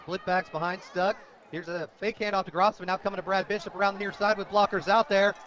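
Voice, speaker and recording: High-pitched male football play-by-play announcer. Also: flat delivery, fast. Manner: descriptive, rising to shouts on big plays. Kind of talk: live football commentary. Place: outdoor high school football game. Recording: dull, lo-fi radio broadcast.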